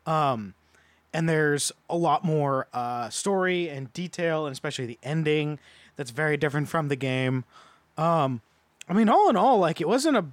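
A man's voice: fairly high pitch, animated, normal speed, no echo. A man giving his opinions as a podcast panelist in a casual, conversational review.

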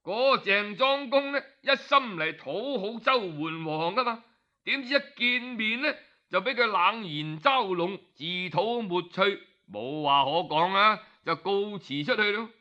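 A man's voice: sits high, lively intonation, a little slow, bright, forceful tone. A man with a Cantonese accent telling historical tales as an audiobook storyteller, in a colloquial, conversational way full of exclamatory particles. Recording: dull, lo-fi audio.

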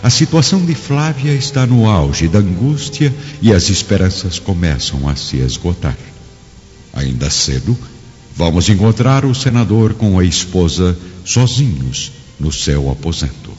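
A man's voice: sits low, sing-song, measured pace, darkish tone. A man narrating a radio drama from a script in a solemn, theatrical manner. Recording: reverberant, dull lo-fi audio over music.

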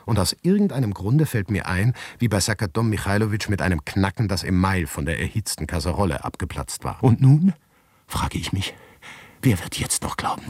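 An anguished, paranoid man of letters in a radio play. His voice is low and husky, recorded very dry. He tells his story in a deliberate, inward way, sometimes dropping into a whisper. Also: dry recording, exaggerated voice. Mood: agitated, then whispered, tense dread. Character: anguished, paranoid male writer telling his grotesque misadventure. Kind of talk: literary radio monologue, first-person narration.

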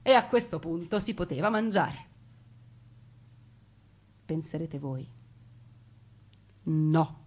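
A woman delivering a theatrical narrated monologue, expressive, with deliberate pauses and emphasis. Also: low-pitched, slightly rough. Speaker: stage storyteller.

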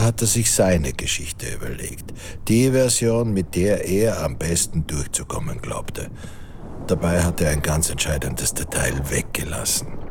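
An actor playing a grave, literary narrator of a war story, telling it with measured emphasis in a radio drama. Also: male voice, low voice. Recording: clear noise behind the narration.

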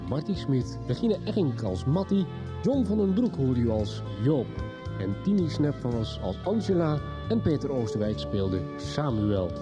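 A male radio announcer reading the closing cast and crew credits of a radio soap in a measured way. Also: mid-range voice. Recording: music under the announcer.

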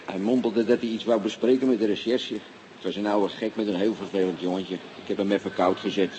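A man's voice: fairly low pitch, steady tone, brisk, a little mellow, a little hiss or hum, natural voice, low-quality recording. An actor playing a deferential, slightly dim young desk policeman in a radio play, hesitating and repeating himself. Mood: hesitant.

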